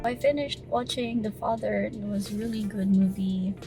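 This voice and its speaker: A young Filipino woman in her twenties vlogs in a relaxed, chatty way, with filler words and frequent laughter. She sounds a little distant from the microphone. Loud background music plays under her voice. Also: mid-range voice, normal speed. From Philippines. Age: 20s. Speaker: vlogger. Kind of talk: casual vlog, answering questions to camera. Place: outdoors and in a city on a day trip.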